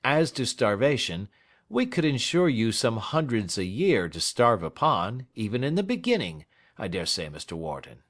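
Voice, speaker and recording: A man's voice: mid-range voice, big pitch swings, normal speed, fairly bright tone. A man voicing a shrewd, whimsical male lawyer with a dry manner, in an audiobook reading. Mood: persuasive. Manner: dry, shrewd, prodding questions.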